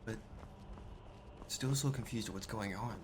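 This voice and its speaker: A man roleplaying a bewildered fantasy adventurer in a video game, thinking aloud in a halting, musing way. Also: fairly low pitch, noisy background, natural voice. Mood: puzzled, musing. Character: bewildered, hesitant male adventurer in a fantasy world. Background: music.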